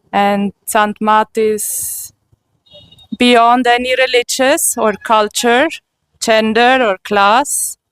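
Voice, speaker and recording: A woman with a German accent speaks slowly and earnestly, often pausing to find her words. Her voice is smooth and clear, recorded dry and close with no room sound. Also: mid-range voice, no room sound, poor audio. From Switzerland. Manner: slow and earnest, with frequent pauses and restarts. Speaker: interviewee. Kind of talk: personal testimony.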